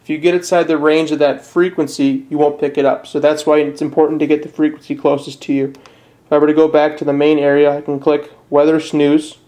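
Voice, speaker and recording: A man giving a casual step-by-step product tutorial in a plain, unpolished, slightly halting way. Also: on the high side, little pitch movement, warm tone. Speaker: presenter of a how-to video.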